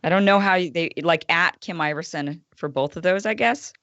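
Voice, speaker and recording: A woman's voice: moderate pace, dry recording, dull audio. Chatty, dry-sounding woman guest. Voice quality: fairly deep voice. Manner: relaxed, chatty, lots of fillers and self-corrections. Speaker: guest, online show host. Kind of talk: casual chat.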